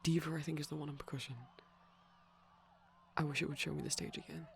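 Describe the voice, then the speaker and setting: A soft, breathy ASMR voice at a medium pitch, with plenty of creak in it. The speaker rambles and explains like a shy, geeky boyfriend watching a film, often sinking into whispers. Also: little pitch movement, quick pace, slight background noise. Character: shy pastel vampire boyfriend, gently geeky. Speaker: ASMR performer, playing a shy boyfriend character. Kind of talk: soft rambling ASMR commentary over a movie. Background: TV playing in the background.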